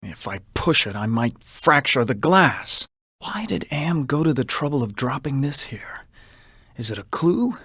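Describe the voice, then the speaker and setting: A man's voice: mid-range voice, expressive, unhurried, fairly bright tone, husky, no echo, studio quality. An actor playing a vain, suave, womanizing con man who muses aloud theatrically and suspiciously in a video game. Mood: puzzled, uneasy. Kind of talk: video game character monologue.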